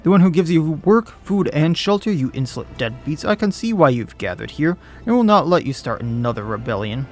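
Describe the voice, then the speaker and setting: A man voicing a pompous, arrogant male officer who barks threats at a crowd in a high, loud voice. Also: sing-song, brisk, few pauses. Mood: contemptuous. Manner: haughty, barking threats.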